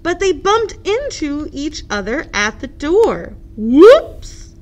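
A woman's voice: high-pitched, big pitch swings, slow pace. A woman narrating a children's picture book expressively, as a librarian at story time.

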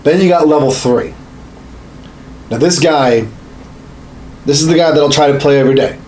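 A man's voice: on the high side, normal speed, crowd noise. A man in his thirties chatting casually as a podcast co-host, methodically laying out his points with some swearing. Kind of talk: casual explanatory chat. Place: small home studio room. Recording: slight background room noise.

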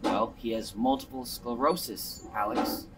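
An actor with an American accent playing a defensive, swaggering brother with worry underneath, in a naturalistic drama. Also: male voice, lowish voice, unhurried, a bit bright, slight background noise, low-quality recording. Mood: serious, worried. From Virginia, United States. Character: defensive adult brother putting on swaggering Italian airs, worried underneath. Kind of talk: naturalistic film dialogue.

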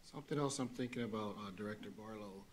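A man's voice: lowish voice, quick pace, a little hiss or hum. A man in his forties, a presenter answering board questions in a plain, explanatory way on a desk mic. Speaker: district program presenter. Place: meeting room. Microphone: desk gooseneck mic.

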